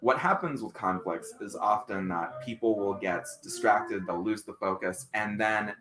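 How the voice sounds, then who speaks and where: A man whose first language is Spanish, hosting a solo live stream and talking about conflict. He sometimes loses his thread, fills the pause with filler words and restarts, and reacts to viewer comments. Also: on the low side, a bit bright, rough recording.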